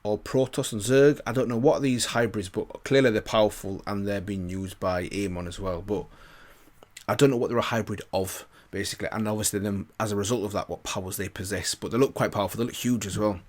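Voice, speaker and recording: A man's voice: fairly low pitch, steady tone, a little fast. A man hosting a reaction video, musing aloud in casual commentary and repeating himself as he thinks.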